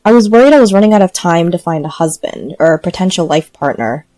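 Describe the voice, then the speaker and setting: Woman narrating a story, soft-edged mellow tone, very dry recording. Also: fairly low pitch, lively intonation, quick pace, dry recording, faint music behind. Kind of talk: first-person scripted narration.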